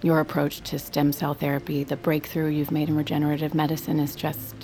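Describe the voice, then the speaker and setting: An actress playing a teasing, gossipy psychiatrist in an audio drama. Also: female voice, low-pitched, completely flat delivery, brisk, darkish tone. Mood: charming. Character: gossipy, sly woman psychiatrist.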